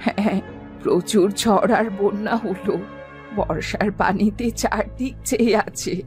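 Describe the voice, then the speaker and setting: A woman voicing an elderly, alarmed and knowing village woman in a cartoon story, asking things in a slow, drawn-out way. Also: mid-range voice, darkish tone, soft background music, close to an everyday voice. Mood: concerned, questioning.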